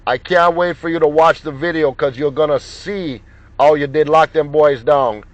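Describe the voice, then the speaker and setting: A man's voice: fairly high pitch, fairly even intonation, moderate pace, dry recording. A man making CB radio contacts, repeating names and call signs in chatty operator patter. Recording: dull, muffled lo-fi sound.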